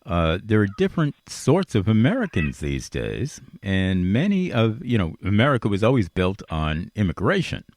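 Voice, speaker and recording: Deep-voiced man hosting a talk show. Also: huge pitch swings, moderate pace, few pauses, darkish tone, no echo. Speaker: radio talk show host. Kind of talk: conversational interview, rambling positive monologue.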